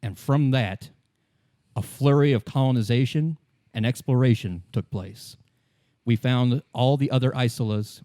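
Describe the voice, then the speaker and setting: A man narrating as game master, explaining fictional lore in a measured, solemn and deliberate storytelling manner. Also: medium pitch, mellow, no echo, studio quality. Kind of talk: improvised lore exposition in a tabletop game.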